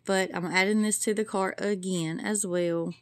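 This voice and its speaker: A woman's voice: medium pitch, steady tone, moderate pace, dry recording, studio quality. Chatty woman vlogging at home. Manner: chatty, folksy, addresses viewers as y'all. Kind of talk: casual vlog and product review. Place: home kitchen and living room.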